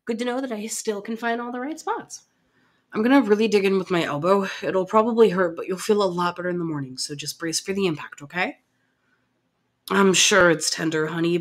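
Casting: actress as doting girlfriend for intimate ASMR roleplay; mid-pitched female voice, soothing, affectionate, kindly insistent. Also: lightly acted. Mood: soothing, coaxing. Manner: coaxing, affectionate, soothing but firm. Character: caring, gently bossy, doting live-in partner, adult.

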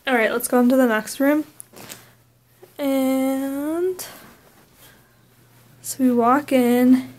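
High-voiced young woman vlogging casually. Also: darkish tone. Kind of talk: casual vlog chat. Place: indoors, bedroom and hotel suite.

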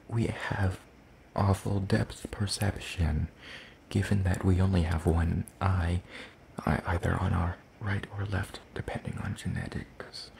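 Low, gravelly, soft-spoken demon played by a man. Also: slight background noise. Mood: musing, polite. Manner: hesitant, formal, slow and deliberate. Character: gentle, curious, one-eyed soul-leeching demon creature. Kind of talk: ASMR roleplay, soft speaking.

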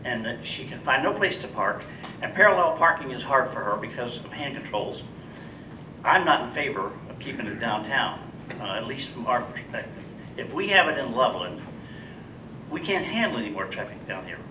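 A man's voice: expressive, normal speed. An American man in his sixties making an informal public comment as a citizen at a meeting. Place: indoor council meeting room. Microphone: gooseneck podium microphone. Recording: echoey room, distant mic, noisy rumble.

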